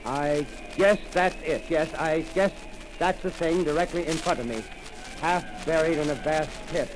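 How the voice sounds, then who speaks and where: A man playing an eager on-the-spot radio reporter, voice pitched a little high, stumbling over fillers as he describes what he sees. The old broadcast carries a steady hiss of noise. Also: lightly acted. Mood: eager, breathless. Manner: eager, halting live description with many fillers. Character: excited male radio field reporter at a crash site. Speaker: radio commentator. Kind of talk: 1930s radio drama acting.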